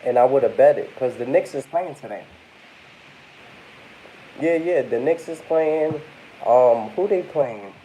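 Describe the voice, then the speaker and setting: A man's voice: mid-range voice, animated, a little slow, long pauses, dark tone, slight background noise, rough recording. Congested young man chatting casually. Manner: rambling, addresses viewers as y'all. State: congested, under the weather. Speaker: vlogger. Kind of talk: casual talk to camera.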